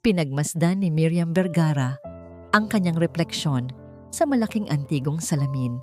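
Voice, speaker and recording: Female story narrator, scripted drama read, slightly low register, music underneath. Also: lively intonation, normal speed, warm tone.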